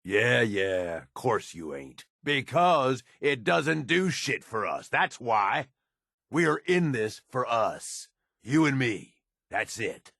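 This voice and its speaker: Video game raider henchman: hard-bitten, cynical, blunt. Low, very animated delivery, recorded close and dry. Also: slow pace, gravelly, no room sound, exaggerated voice. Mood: irritated. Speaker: game companion character.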